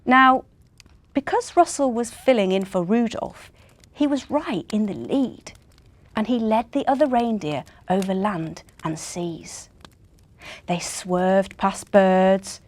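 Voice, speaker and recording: A woman's voice: mid-range voice, darkish tone, no room sound. A woman reading a children's story expressively.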